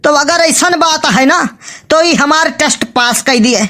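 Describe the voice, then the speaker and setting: High, sharp, skeptical Awadhi cartoon villager played by a woman. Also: a little slow. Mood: challenging. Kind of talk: comic cartoon dialogue in village dialect.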